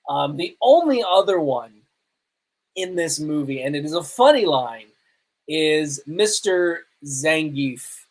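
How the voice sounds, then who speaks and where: A man hosting a podcast, reviewing movies casually in an emphatic, halting way with pauses for effect. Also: a little high, rough recording. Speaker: podcast host.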